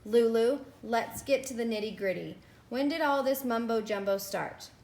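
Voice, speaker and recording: Woman as brisk high-voiced school nurse. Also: darkish tone, lightly acted. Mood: stern, urgent. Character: brisk, bossy female school nurse, no-nonsense yet silly-worded.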